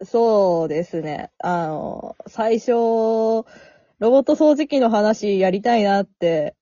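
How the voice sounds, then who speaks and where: A woman's voice: mid-range voice, expressive, takes it slowly, mellow, dry recording. A woman, an amateur performer, chatting casually in a self-deprecating way. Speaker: amateur radio drama performer. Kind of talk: casual chat. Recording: dull, dry lo-fi audio.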